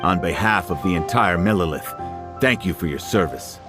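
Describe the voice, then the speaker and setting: A deep-voiced soldier officer. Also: male voice, expressive, normal speed, music in the background. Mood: grateful. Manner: formal, official. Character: formal male soldier officer, grateful.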